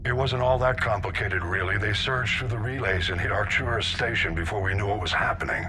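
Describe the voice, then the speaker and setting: Grim low-voiced admiral. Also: male voice, a little fast, sharp tone, a little hiss or hum. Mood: grim regret. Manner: grave, authoritative, blunt. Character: grim, seasoned human male admiral, resolute and blunt. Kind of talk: video game voice acting.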